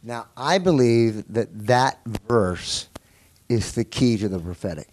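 A man's voice: medium pitch, animated, slow, darkish tone. A man, married, teaching a congregation as pastor in a chatty style, laughing often and repeating words as he goes. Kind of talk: teaching sermon.

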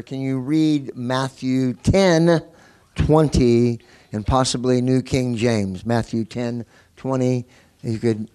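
A man's voice: mid-range voice, mellow. A man, married, teaching a congregation as pastor in a chatty style, laughing often and repeating words as he goes. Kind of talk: teaching sermon.

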